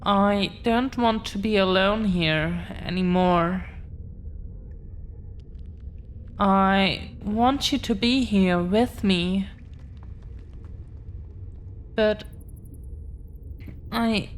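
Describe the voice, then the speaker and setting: A woman playing an affectionate, earnest girlfriend of dragon descent in an intimate roleplay audio, speaking gently with lingering pauses. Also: mid-range voice, a little hiss or hum. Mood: earnest, hesitant. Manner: gentle, hesitant, lingering pauses between phrases.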